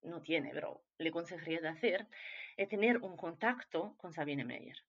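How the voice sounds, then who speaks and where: A woman's voice: on the low side, lively intonation, moderate pace, breathy edge, dull audio. Italian woman speaking hesitant, accented Spanish. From Italy. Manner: hesitant, many fillers and restarts. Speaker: clarinet teacher, guest. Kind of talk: casual interview answers.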